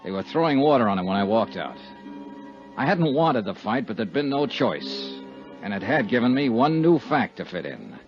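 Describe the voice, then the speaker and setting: An American actor playing a tough, wry insurance investigator in a clipped 1950s radio detective style. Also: male voice, mid-range voice, sing-song, normal speed, music in the background. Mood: brooding, measured. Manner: clipped, hard-boiled delivery. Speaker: lead actor and narrator of a radio serial. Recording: dull 1950s radio recording.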